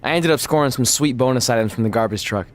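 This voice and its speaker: A man's voice: medium pitch, quick pace, close to an everyday voice. A man playing a guileless, upbeat oddball buddy. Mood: proud, cheerful. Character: upbeat, oddball loyal friend, proud scavenger. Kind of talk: video game cutscene acting, conversational.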